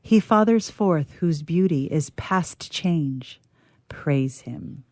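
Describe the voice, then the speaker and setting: A woman's voice: low voice, lively intonation, slow, warm tone, no echo, studio quality. A woman radio theatre player, talking casually about poetry and reading it out. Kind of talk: casual talk and measured poetry recitation.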